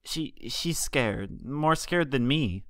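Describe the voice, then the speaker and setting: A man voicing a small, worried pegasus colt, a shy young boy in a fan fiction reading, halting and timid. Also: medium pitch, expressive, a bit bright, dry recording, clean studio sound. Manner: halting, timid, repeating words. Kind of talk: fan fiction audiobook character voice.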